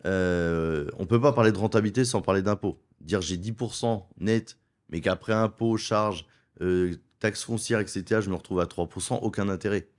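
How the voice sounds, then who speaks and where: A middle-aged man from France, a wealth advisor in a studio interview, making his case persuasively and listing points in quick runs. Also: sits low. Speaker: wealth advisor and property investment guest. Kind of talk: conversational interview.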